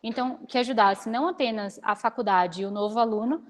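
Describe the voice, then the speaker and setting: A woman in her twenties from Salvador, a startup founder giving an energetic, practical guest talk over a video call. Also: a little high, fast talker. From Salvador, Bahia, Brazil. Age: 20s. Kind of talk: online lecture with slides.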